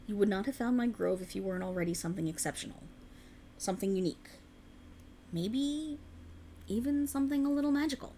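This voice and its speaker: Creaky, coaxing ancient faerie woman, acted by a woman. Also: medium pitch, fairly quick, pauses often, warm tone, slight background noise, lightly acted. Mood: delighted, soothing. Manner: soothing, formal archaic phrasing, coaxing. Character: ancient alluring female faerie, tender yet sinisterly possessive. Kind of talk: scripted ASMR roleplay.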